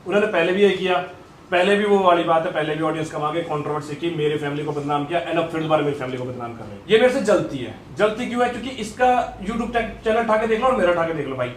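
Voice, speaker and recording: A man's voice: a little high, animated, quick pace, roomy sound, a little hiss or hum. A man giving an unscripted, defensive and accusatory rebuttal, often repeating himself. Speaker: vlogger.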